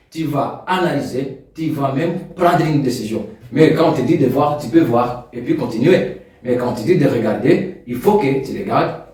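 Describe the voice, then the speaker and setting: Man preaching in echoey hall. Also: mid-range voice. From Rwanda. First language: Kinyarwanda. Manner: rises loud and emphatic on key points, drops soft in prayer. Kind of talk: sermon. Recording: echoey room, distant mic.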